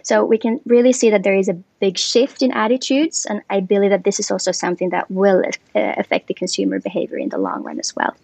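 A woman from Finland, her voice carrying a touch of vocal fry. She explains her work in a relaxed interview manner, with frequent fillers along the way. The recording is dry and close, with no room sound. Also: medium pitch, quick pace, few pauses, no room sound. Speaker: guest, commercial lead. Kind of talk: podcast interview.

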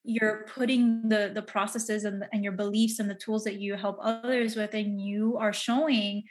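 A woman hosting a podcast interview, speaking with gushing enthusiasm and warm praise for her guest. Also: mid-range voice, little pitch movement, normal speed. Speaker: podcast host and coach.

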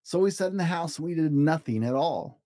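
A man hosting a children's story reading, narrating a rhyming picture book in an expressive, theatrical singsong. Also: on the high side, brisk, no room sound, studio quality.

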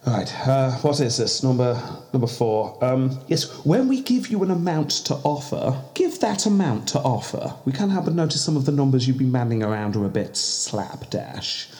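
Comedy sketch: a man as a tired male official, stammers and hesitates, exasperated, ordinary mid-pitched voice. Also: expressive, moderate pace, hardly any pauses, warm tone, natural voice. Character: weary male official scolding a diplomat.

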